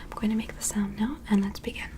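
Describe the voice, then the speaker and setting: A woman's voice: medium pitch, steady tone, close to an everyday voice. Whispering alien examiner, played by a woman. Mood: calm, curious. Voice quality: soft breathy whisper. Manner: slow, careful, soothing step-by-step instructions. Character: gentle, curious alien medical examiner studying a human. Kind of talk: ASMR medical sci-fi roleplay.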